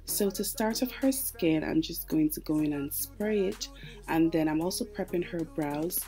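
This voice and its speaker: A woman with a distinctly low, mellow voice narrates a makeup tutorial. She is chatty and explanatory, talking casually to her viewers as she walks through the steps. Light music plays behind her. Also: moderate pace. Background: background music.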